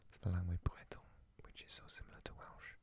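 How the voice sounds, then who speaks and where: A man whispering softly in an ASMR reading, musing aloud and sounding out foreign words. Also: quick pace. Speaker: ASMR creator.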